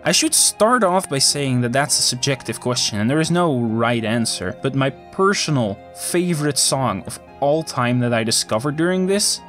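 A man's voice: medium pitch, expressive, moderate pace, few pauses, fairly bright tone, soft background music. A man whose first language is Dutch, narrating a scripted video as the channel host and lifting his voice eagerly at the start of phrases.

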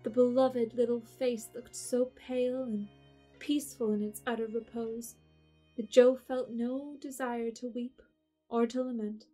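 A woman's voice: fairly high pitch, level tone, warm tone, faint music behind. Woman narrating a period story. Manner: measured storybook reading. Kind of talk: scripted narration.

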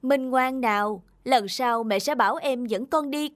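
An actress playing a gentle, patient young mother in a children's cartoon, tender and encouraging. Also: on the high side, measured pace, dry recording, close to an everyday voice. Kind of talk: Vietnamese children's cartoon dubbing.

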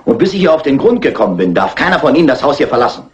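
Animated, stern male police inspector actor's role. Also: medium pitch, rapid-fire, highs cut off, natural voice. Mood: stern, insistent. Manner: authoritative, clipped. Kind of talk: German crime film dub acting.

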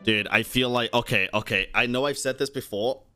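A man's voice: mid-range voice, brisk, pushed and bright, smooth. A young man giving casual, informal, sweary live commentary as a game streamer on a close mic. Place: indoor streaming room. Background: faint game music.

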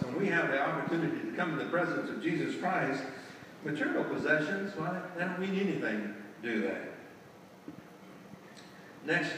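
A man's voice: fairly high pitch, background noise. A man in his sixties preaching a folksy sermon from the pulpit, often asking the congregation rhetorical questions. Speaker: lay preacher. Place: large church sanctuary, indoors. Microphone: far camera at the back of the sanctuary. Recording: echoey church hall, distant camera.

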